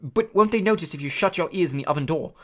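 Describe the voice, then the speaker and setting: Lady doing a jumpy polite kid wizard, whispery and rushed. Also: sits low, animated, voice pushed up. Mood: nervous. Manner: nervous, hushed, hurried. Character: nervous polite schoolboy wizard.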